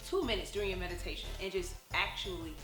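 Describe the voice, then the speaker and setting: A woman co-hosting a casual talk show, chatting animatedly and sometimes slipping into a soft, soothing voice. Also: fairly low pitch, fast talker, reverberant, music in the background, low-quality recording. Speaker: podcast co-host. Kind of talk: casual chat.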